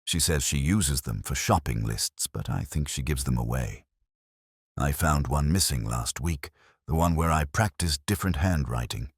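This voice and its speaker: A man's voice: rumbling low, animated, moderate pace, slightly rough, dry recording, studio quality, heavily acted. A man voicing an anxious, confiding young girl in an audiobook, earnest and hesitant. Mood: anxious confession. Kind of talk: audiobook character dialogue.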